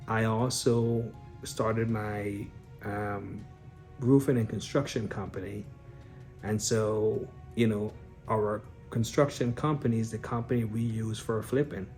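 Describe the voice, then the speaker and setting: A man from Guyana talks straight to his viewers in a relaxed, unscripted way, stopping and restarting his sentences and filling gaps with "um". His voice sits on the low side. Faint background music plays under him. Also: a little slow. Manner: unscripted, frequent fillers and restarts, addresses viewers directly. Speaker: real estate investor and business owner. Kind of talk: casual explanatory vlog talk.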